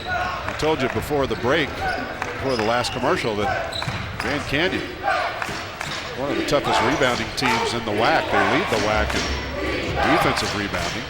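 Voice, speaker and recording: A man with a mid-pitched voice calls a live basketball game. His calls come in short, clipped fragments. Heavy arena noise and the thump of bouncing balls run behind him. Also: moderate pace, pauses often. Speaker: play-by-play sports commentator. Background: arena noise and ball bounces.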